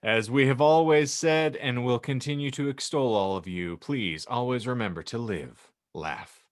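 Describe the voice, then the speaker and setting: A man's voice: mid-range voice, moderate pace, dry recording, studio quality. A man hosting a casual comedic podcast, talking in wordy, mock-formal phrases. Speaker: podcast host. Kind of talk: casual comedic panel chat.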